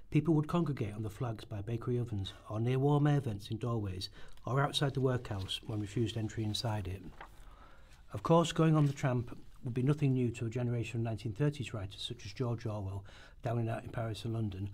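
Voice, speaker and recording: A man's voice: mid-range voice, a little mellow. A man reading scripted historical narration as the narrator of a radio documentary drama. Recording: amateur rehearsal recording.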